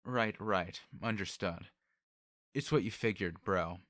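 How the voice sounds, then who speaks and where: A man's voice: sits low, slow pace, a bit bright, no room sound, studio quality. A man voicing a wry, easygoing male servant who talks in casual slang in an audiobook. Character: laid-back, wry male servant with a sword.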